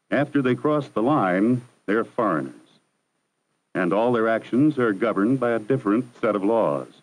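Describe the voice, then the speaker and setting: A man narrating a 1960s educational film in a measured, formal, instructive manner. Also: medium pitch, rough recording. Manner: measured, formal, didactic delivery. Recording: 1960s archival film soundtrack.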